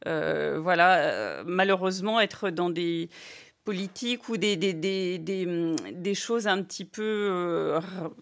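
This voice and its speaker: A woman's voice: fairly low pitch, takes it slowly. A woman interviewing a guest, asking probing questions with many hesitant restarts. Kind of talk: conversational interview.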